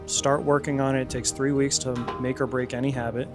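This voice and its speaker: A man's voice: mid-range voice, flat delivery, fairly quick, over music. A man in his thirties, a fitness coach, talking casually and bluntly to camera with plenty of energy and the odd swear word. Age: 30s. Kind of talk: casual direct-to-camera talk.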